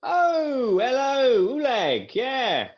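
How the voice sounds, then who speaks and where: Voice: high-pitched, very animated, very slow, muffled recording, exaggerated voice. A performer playing a dim, eager, innocent orc underling with a naive, questioning manner at a table read.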